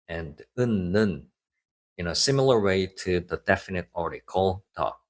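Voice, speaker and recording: A young man whose first language is Korean, giving a clear, scripted online lesson in English with Korean example sentences. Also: fairly low pitch.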